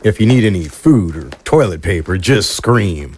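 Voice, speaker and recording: A man's voice: deep voice, lively intonation, moderate pace, a little hiss or hum. A man in an audio drama playing a crude, mocking and hostile jailer who taunts his prisoner. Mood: taunting.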